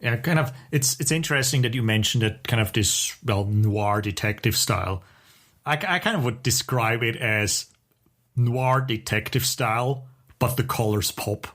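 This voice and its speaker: A man co-hosting a podcast in casual chat, talking in a halting way as he thinks aloud. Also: medium pitch, fairly bright tone. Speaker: podcast co-host.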